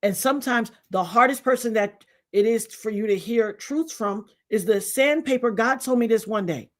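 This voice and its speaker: A woman's voice: on the high side, moderate pace. A woman in her fifties, hosting her own channel and lecturing her viewers in a casual, preachy way, calling them y'all. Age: 50s. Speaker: YouTube channel host. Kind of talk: casual talk to camera.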